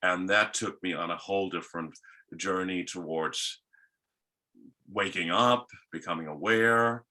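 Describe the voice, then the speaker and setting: Deep-voiced Danish man, bright tone. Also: measured pace. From Denmark. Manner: rambling, with many restarts. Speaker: guest. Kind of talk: casual interview talk.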